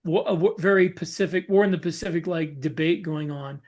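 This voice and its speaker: Man, slightly high voice, podcast co-host, casual explanation, halting, reworked phrases. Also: darkish tone. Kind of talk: casual discussion of writing military science fiction.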